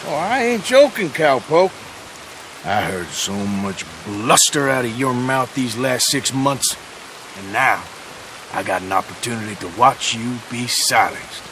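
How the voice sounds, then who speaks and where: An actor playing a gruff, sardonic Wild West outlaw in a video game, with an American Western drawl and a dry, menacing manner. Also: male voice, mid-range voice, animated, measured pace, noisy background, lightly acted. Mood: mocking. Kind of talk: video game cutscene acting.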